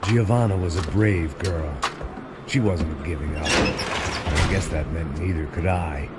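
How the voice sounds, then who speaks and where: An actor playing a cynical, middle-aged American ex-cop with a low gravelly voice, delivering deadpan noir lines in a video game. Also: male voice, unhurried, loud music behind the voice. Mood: weary, sardonic. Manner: deadpan, fatalistic. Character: world-weary, cynical, alcoholic middle-aged male ex-cop bodyguard. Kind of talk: noir scripted narration and game dialogue.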